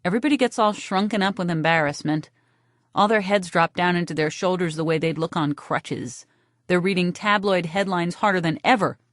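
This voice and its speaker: A woman narrating a first-person novel for an audiobook with a wry, deadpan delivery. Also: on the low side, a little fast, no echo, studio quality.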